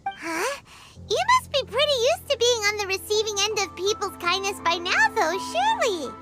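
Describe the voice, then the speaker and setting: Squeaky tiny fairy sidekick. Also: female voice, very animated, normal speed. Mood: teasing. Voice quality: squeaky, childlike. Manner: blunt teasing, bouncy exclamations. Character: tiny floating fairy companion, chirpy and cheeky. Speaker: companion character. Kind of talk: video game voice acting. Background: loud game music.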